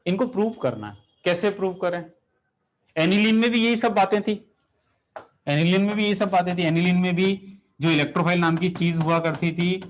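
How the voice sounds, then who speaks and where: A fairly high-voiced man teaches chemistry to a class. He talks in an animated way, throws questions at his students and turns the lesson into a story. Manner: animated, rhetorical questions, addresses students directly.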